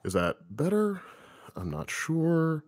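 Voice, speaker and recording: A man with a very deep voice, a comics writer casually answering viewer questions on a livestream, thinking aloud with lots of ums. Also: slow pace, dry recording, studio quality. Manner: relaxed, rambling, thinking aloud with many fillers.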